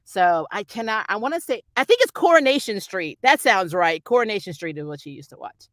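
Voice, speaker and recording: A woman's voice: medium pitch, big pitch swings, fairly quick, fairly bright tone, dry recording. A middle-aged woman chatting casually and off the cuff as a review host, rambling and candid, swearing freely and often chuckling. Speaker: host of a TV and film review channel; actor who auditions. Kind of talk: casual unscripted livestream chat.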